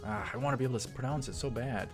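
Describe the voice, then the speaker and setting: A man's voice: medium pitch, rapid-fire. A man hosting a let's play, reading a visual novel aloud and adding casual commentary. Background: faint music.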